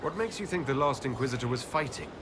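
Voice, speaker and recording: An actor playing a composed, authoritative male elven leader in a video game, speaking in brief, brisk questions and decisions. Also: medium pitch, expressive, lightly acted. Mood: composed, inquiring. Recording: game audio over noisy outdoor ambience.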